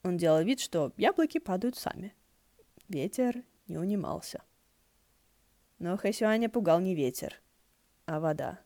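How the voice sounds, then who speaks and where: A woman's voice: on the low side, lively intonation, a little slow, pauses often, dark tone, no room sound. A woman narrating fiction from a script as an audiobook reader, in a wry storytelling style.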